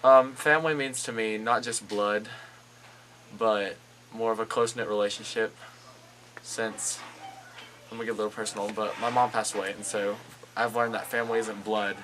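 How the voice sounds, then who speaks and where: A man's voice: lowish voice, fairly even intonation, moderate pace, a bit bright, a little hiss or hum, rough recording. A teenage male theater student giving casual, personal answers in an interview. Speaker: high school theater student.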